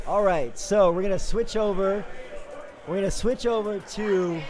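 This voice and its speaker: A man with a raspy voice calling live sports play-by-play, hoarse after days of talking, upbeat and quick with frequent fillers. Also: high voice, crowd noise. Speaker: play-by-play commentator.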